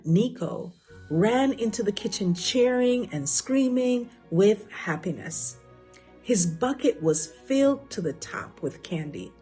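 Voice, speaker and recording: A woman narrating a children's storybook in a measured read-aloud manner. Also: mid-range voice, warm tone, slightly rough.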